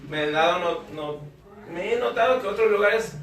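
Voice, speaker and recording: A man from Ecuador with an Ecuadorian Spanish accent, teaching a church discipleship class in short phrases and pausing for a live interpreter. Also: high-pitched, fairly bright tone. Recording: echoey room, voice from a distance.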